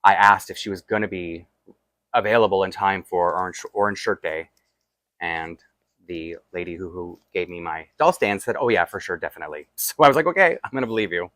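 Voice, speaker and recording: A man's voice: on the low side, fairly bright tone, no room sound. A man with a Toronto accent chatting casually and self-deprecatingly while unboxing and dressing a doll, with rambling asides. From Toronto, Canada. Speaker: doll collector vlogger.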